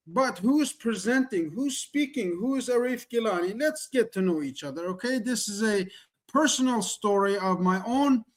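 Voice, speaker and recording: Middle-aged male presenter from the Middle East, not a native English speaker, higher voice, earnest and repetitive, on a video call. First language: Kurdish or Persian. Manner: earnest, repetitive, rhetorical questions. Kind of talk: online presentation, motivational pitch.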